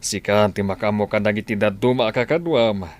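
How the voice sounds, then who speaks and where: A man's voice: on the low side, moderate pace, natural voice. A man with an Ilocano accent playing an authoritative office boss giving orders in a radio drama. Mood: businesslike.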